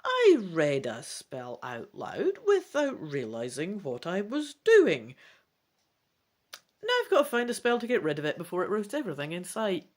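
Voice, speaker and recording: A woman's voice: fairly low pitch, huge pitch swings, moderate pace. A woman voicing a panicked, shamefaced young spellcaster in a fantasy storybook, flustered and desperate.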